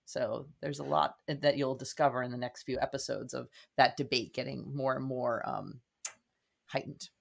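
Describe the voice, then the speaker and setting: A woman's voice: extremely low pitch, level tone, normal speed, creaky. A woman speaking as a guest in a casual interview, rambling earnestly as she thinks aloud. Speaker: podcast guest, playwright.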